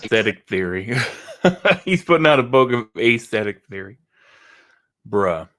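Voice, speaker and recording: Texan man, lowish voice, rambles and sneers through casual reaction commentary. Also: sing-song, a little slow, a bit bright. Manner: rambling, mocking, frequent restarts. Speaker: reaction video host.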